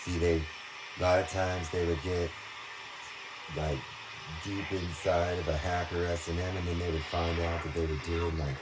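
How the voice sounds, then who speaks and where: A man talking through a rambling monologue, halting, restarting and trailing off as he goes. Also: deep voice, level tone, background noise. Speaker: video monologue speaker.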